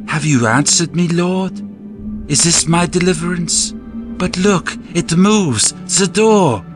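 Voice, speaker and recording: An actor playing a devout, bewildered soldier praying aloud in an audio drama, halting and full of awe. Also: male voice, high-pitched, lively intonation, over music. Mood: awed, pleading. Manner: slow, halting, awed.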